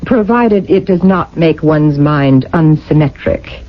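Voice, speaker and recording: A stern, officious programmer of a future society speaks in formal, bureaucratic phrases. It is radio drama acting, heard through a dull vintage recording. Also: female voice, sits low, expressive, slow pace. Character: cold, officious female district programmer of a future state.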